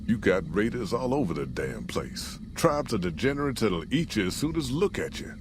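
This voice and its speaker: An American actor playing a gruff, folksy caravan boss in a post-apocalyptic video game, with plain-spoken frontier drawl. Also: male voice, lowish voice, big pitch swings, normal speed, noisy background. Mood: grim, warning. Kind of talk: scripted video game character dialogue.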